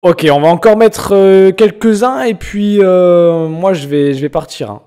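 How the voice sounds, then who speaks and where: A young man from France chatting casually on a live stream, joking and teasing viewers in slangy talk with sudden loud bursts. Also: sits high, unhurried, clear, resonant tone. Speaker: streamer reacting to viewers' music.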